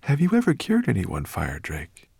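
A man's voice: lowish voice, huge pitch swings, moderate pace, gravelly, darkish tone, slightly rough, dry recording, clean studio sound, voice pushed up, full character voice. A man voicing a curious, kindly young boy in an audiobook, asking things in an earnest way. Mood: wondering. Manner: questioning, earnest. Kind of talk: audiobook character voice.

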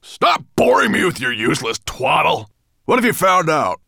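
A man's voice: on the high side, big pitch swings, moderate pace, vocal fry, pushed and bright, no echo, clean studio sound, voice pushed up, heavily acted. A man voicing a huge, furious dragon master in a storybook, roaring, snarling and growling with menace and impatience. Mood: contemptuous snarling.

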